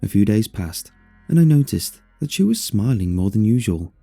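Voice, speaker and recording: A man with a low, deeply mellow and slightly husky voice narrates a scripted horror story in the first person. His delivery is measured and expressive, with lively rises and falls in pitch. Faint music plays behind him.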